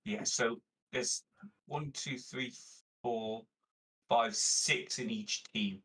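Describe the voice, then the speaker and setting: A man's voice: medium pitch, very slow, fairly bright tone, breathy edge. A man chatting casually as a player at a tabletop game, jokey and sweary, recorded at a distance from the mic.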